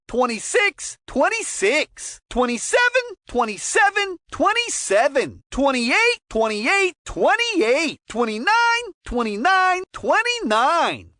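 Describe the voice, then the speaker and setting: Very high-pitched cartoon hockey commentator. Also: female voice, fairly bright tone, no echo, clean studio sound, heavily acted. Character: Buddy Cheque, excitable cartoon kids' hockey game commentator. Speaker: sports commentator character. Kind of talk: recorded video game number callouts in varied intonations.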